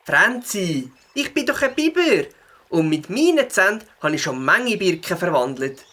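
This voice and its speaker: A woman voicing a helpful, confident young beaver with a husky voice and a Swiss German accent in a children's audio play. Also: on the low side, very animated, measured pace, a bit bright, heavily acted. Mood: proud, confident.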